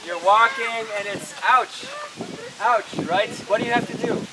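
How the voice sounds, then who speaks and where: A woman's voice: a little high, unhurried. Woman presenting outdoors in heavy noise. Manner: question-asking, explanatory. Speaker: presenter of a puppet show. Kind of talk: addressing a children's audience. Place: outdoors at an open-air show. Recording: very windy, noisy outdoor recording.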